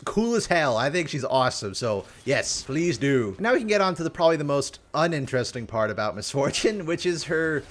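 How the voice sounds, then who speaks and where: A man giving casual, opinionated video commentary on a game, with animated asides and some casual swearing. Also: fairly high pitch, brisk, hardly any pauses. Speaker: video game champion reviewer.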